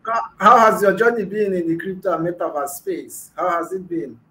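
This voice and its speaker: A man's voice: high-pitched, moderate pace, bright, forceful tone, rough recording. Hesitant male interview host. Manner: hesitant, many fillers. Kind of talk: online interview.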